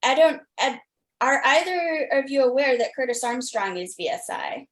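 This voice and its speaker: A woman with a fairly high voice hosts a casual interview. She talks like an opinionated fan, stating her views with emphasis. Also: lively intonation, moderate pace. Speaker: podcast host and interviewer.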